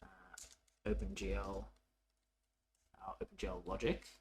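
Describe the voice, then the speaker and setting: A man presenting a programming tutorial in a casual, unscripted way, haltingly thinking aloud as he works. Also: on the low side, very animated, creaky, a little mellow, slight background noise. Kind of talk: casual coding walkthrough, unscripted.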